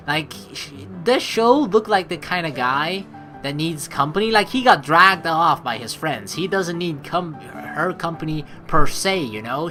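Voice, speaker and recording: A woman's voice: deep voice, a bit bright. A young woman giving casual, slangy game commentary, reading aloud and reacting as she goes. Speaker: let's play host.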